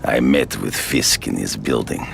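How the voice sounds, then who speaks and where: An actor playing a proud, cruel older hunter villain in a game cutscene, taunting slowly with a deep, gravelly voice. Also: male voice, slight background noise, heavily acted. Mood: calm. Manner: taunting, slow and deliberate, honor-bound. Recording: game cutscene audio with loud score underneath.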